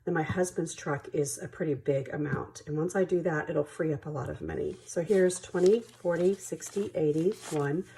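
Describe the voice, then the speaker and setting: A woman's voice: sits low, normal speed, hardly any pauses, warm tone, poor audio. A woman hosting her own budgeting vlog, stuffy from allergies, chatting casually and counting money aloud. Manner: friendly, apologetic, laughs easily, counts money aloud. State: congested with allergies, sniffling and coughing. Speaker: budgeting channel host.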